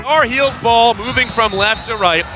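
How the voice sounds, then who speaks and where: A young man doing radio play-by-play of a basketball game, quick and building in excitement on big plays. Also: extremely high pitch, music in the background. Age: young adult. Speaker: play-by-play announcer. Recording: loud arena noise behind.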